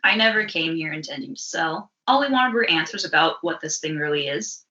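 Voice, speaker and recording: A defiant comic-book bounty hunter, read by a woman, sassy and ready to argue. The voice is bright and animated, on slightly lo-fi audio. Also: deep voice, brisk, roomy sound. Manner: sassy, combative. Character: brash, defiant young female bounty hunter.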